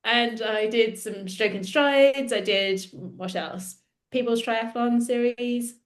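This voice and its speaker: A woman's voice: fairly high pitch, slow pace. A woman in her thirties, a podcast guest chatting casually, laughing often and self-deprecating. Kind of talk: casual interview chat.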